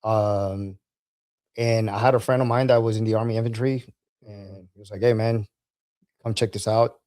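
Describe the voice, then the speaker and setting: A man's voice: on the low side, fairly even intonation, normal speed, darkish tone. A man speaking as a military veteran and podcast guest, telling personal stories in a casual, conversational way.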